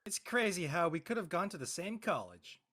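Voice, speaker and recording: A man's voice: sits high, very animated, brisk, bright, forceful tone, full character voice. A man playing an easygoing college-bound young Californian in casual scripted dialogue. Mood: casual, friendly.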